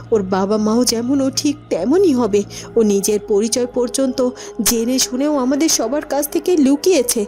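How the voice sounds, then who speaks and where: Woman playing an anxious, scolding high-voiced queen mother. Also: warm tone, close to an everyday voice. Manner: agitated, repetitive, reproachful questioning. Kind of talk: melodramatic cartoon acting.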